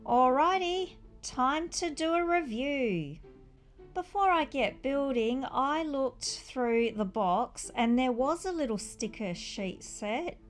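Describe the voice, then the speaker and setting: A woman with a high-pitched voice talks through a toy set review at a slow, easy pace. Her style is chatty and informal, with enthusiastic exclamations. Faint music plays behind her. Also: lively intonation. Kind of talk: casual chatty unboxing and review commentary.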